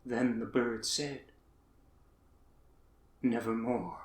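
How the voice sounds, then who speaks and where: Man acting a slow, tormented poet reciting theatrically, echoing. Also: medium pitch, level tone. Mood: brooding. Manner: theatrical, declamatory, with long pauses. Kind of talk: dramatic poetry recitation. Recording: roomy, echoing space.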